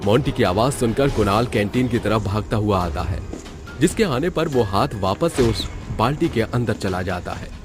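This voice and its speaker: A man with a fairly low voice narrates a horror story. His delivery is scripted and dramatic, set over clearly audible music. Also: lively intonation, normal speed.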